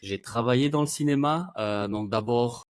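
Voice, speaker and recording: A man's voice: medium pitch, normal speed, dry recording. Man in his 30s, German-native Belgian guest, rambling and hesitant, dry close sound. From German-speaking Belgium. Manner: rambling, many hesitations, laughs often. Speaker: podcast guest, video creator. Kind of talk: casual interview chat.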